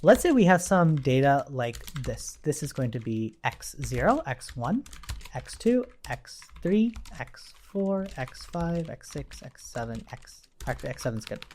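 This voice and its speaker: Guy doing a casual coding tutorial, thinks out loud, pitch moves around a lot, keeps checking you follow. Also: big pitch swings, measured pace, a little hiss or hum. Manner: explanatory, often checks in with "right?". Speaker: programming instructor.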